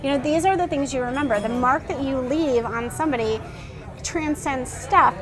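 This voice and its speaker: A woman's voice: high voice, moderate pace, a crowd in the background. A middle-aged woman interviewing a guest in a casual chat. She talks earnestly and restarts her sentences often. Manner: earnest, animated, many restarts. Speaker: interviewer and blogger.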